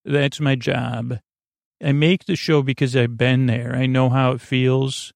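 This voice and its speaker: A man hosting a sleep podcast, rambling in a drowsy, meandering way and gently reassuring his listeners. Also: medium pitch, little pitch movement, a little slow, gravelly, no room sound, clean studio sound. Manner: meandering, drowsy, self-interrupting.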